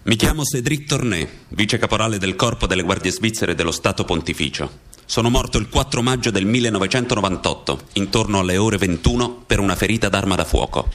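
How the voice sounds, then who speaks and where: Low-voiced young guardsman's ghost. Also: animated, a little fast, fairly bright tone. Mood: sober, factual. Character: dead young Swiss Guard corporal recounting his death, sober. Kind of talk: scripted radio drama monologue.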